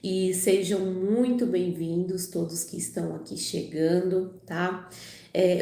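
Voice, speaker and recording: A woman's voice: medium pitch, mellow, reverberant. A woman hosting a live channel, reading the Bible aloud and chatting, her voice a little off from a cold, with lots of "né" and "tá bom" tags. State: recovering from a cold. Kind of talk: casual livestream talk and Bible reading aloud.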